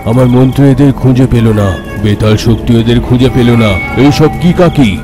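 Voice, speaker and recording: An actor playing an anxious, protective bearded sage who keeps asking what is wrong. Loud music plays behind him. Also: male voice, mid-range voice, a little fast, natural voice. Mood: alarmed. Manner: worried, questioning.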